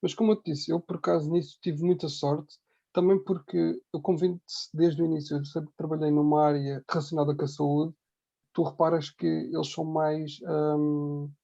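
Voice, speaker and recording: Gravelly Portuguese man, higher-pitched voice. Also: level tone, normal speed, dark tone, highs cut off. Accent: European Portuguese. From Ribatejo, Portugal. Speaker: interview guest, emigrant hospital worker. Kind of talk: casual conversational testimony.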